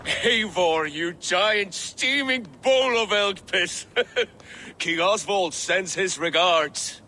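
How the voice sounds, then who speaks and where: A boisterous, bloodthirsty Viking warrior for a video game. His voice is bright and forceful, somewhat high, with a brash, mocking swagger. Faint outdoor noise sits behind him. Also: slow pace, heavily acted. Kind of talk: dramatic video game acting.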